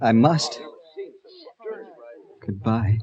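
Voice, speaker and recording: An actor playing a wistful, politely evasive gentleman traveler, hesitant in manner, in a 1940s radio drama. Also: lowish voice, very slow. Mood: resolute, tender. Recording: muffled vintage radio recording.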